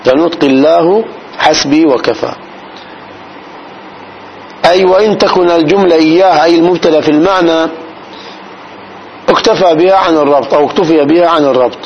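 Man teaching grammar, checking the class. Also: on the high side. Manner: explains slowly, often checks the class's understanding. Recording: dull recording with faint noise.

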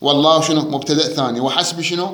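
Male Iraqi grammar teacher, high and fast, repeats key words, classroom echo. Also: pushed and bright. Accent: Iraqi Arabic. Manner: drilling students with quick questions, repeating key words. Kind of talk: classroom grammar lesson with student back-and-forth. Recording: echoey classroom.

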